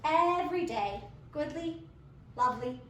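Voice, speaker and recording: A woman's voice: high-pitched, lively intonation, unhurried, fairly bright tone. A woman narrating a scripted children's storybook as part of a readers' theater. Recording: echoey room, distant, faint noise.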